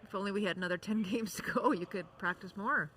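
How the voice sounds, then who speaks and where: Male color commentator, high and bright voice, joking and light, faint arena hum. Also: fast talker, low-quality recording. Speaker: curling commentator. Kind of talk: sports commentary.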